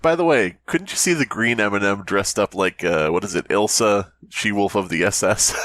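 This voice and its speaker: A man's voice: on the low side, normal speed, no room sound. A man co-hosting a podcast, chatting casually and reading a story aloud while laughing and swearing a lot. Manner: lively, cracks himself up laughing and swearing.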